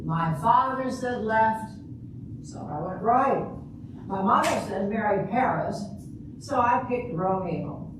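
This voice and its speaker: In a very reverberant, noisy hall, far from the microphone, an older woman plays a wistful divorcée in a stage comedy reading. She is chatty and deliberate, taking her lines slowly. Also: mid-range voice, expressive. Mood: wistful, wry. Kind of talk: amateur readers' theater comedy scene.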